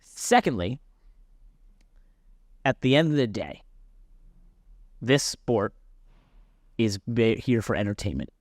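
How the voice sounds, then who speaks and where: A man's voice: medium pitch, big pitch swings, slow pace, long pauses, a little mellow, dry recording, clean studio sound. A man co-hosting a casual motorsport podcast, talking through his argument in an explanatory way and often stopping to correct or qualify himself. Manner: explanatory, self-correcting, interjecting. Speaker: podcast co-host and racing driver. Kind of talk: casual sports podcast discussion.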